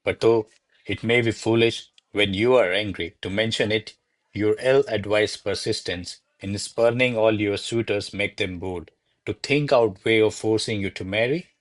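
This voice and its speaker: A man's voice: fairly low pitch, normal speed, no room sound, close to an everyday voice. A man playing a deferential, flustered servant to a noble lady in a radio play, speaking formally and placatingly. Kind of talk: radio play acting of a classic comedy.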